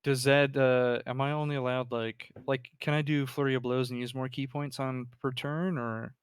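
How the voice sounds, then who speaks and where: A man's voice: medium pitch, level tone, normal speed, slight background noise. A man chatting casually as a player in a tabletop game, thinking aloud and repeating his words as he works out a move.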